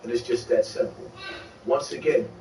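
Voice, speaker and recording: A man's voice: medium pitch, fairly even intonation, normal speed, warm tone. A man vlogging with emphatic, preachy street commentary, repeating his catchphrases as he makes his point. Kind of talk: motivational street commentary to camera. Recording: distant echoey mic with noise.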